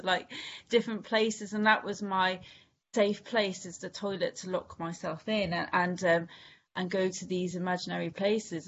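A Welsh woman from Wales speaking candidly at a recovery meeting, halting with many restarts and fillers. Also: on the low side, muffled recording, slight background noise. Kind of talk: personal share at a recovery meeting.

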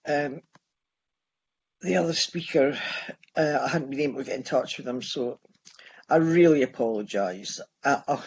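A Scottish man in his sixties sharing his life story at a recovery meeting, halting and restarting as he goes. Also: mid-range voice, a little mellow, breathy edge, dull audio. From Scotland. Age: 60s. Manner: halting, with many restarts and pauses. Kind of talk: personal testimony.

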